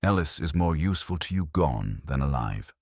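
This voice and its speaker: A man plays a coldly logical detective in a mystery audiobook, with an extremely low, husky voice. He speaks formally and accusingly, his pitch swinging with emphasis. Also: big pitch swings, moderate pace, darkish tone, dry recording, clean studio sound, exaggerated voice. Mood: cold, incisive. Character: commanding, coldly logical male detective confronting suspects. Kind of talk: audiobook detective story dialogue.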